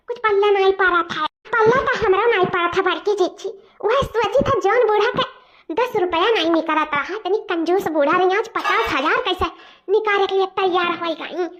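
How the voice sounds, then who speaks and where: A woman voicing a fretful, excitable village mother in a comic cartoon, chattering repetitively in a rustic eastern Uttar Pradesh accent. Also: very high voice. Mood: suspicious gossip. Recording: dull lo-fi cartoon soundtrack.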